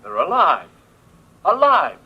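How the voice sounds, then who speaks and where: An actor playing an astonished stranger in an old-time radio drama, with a husky, sharp voice. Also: male voice, on the high side, wildly swooping pitch, slow, muffled recording, heavily acted. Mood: amazed, calling out. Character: astonished stranger calling in to survivors.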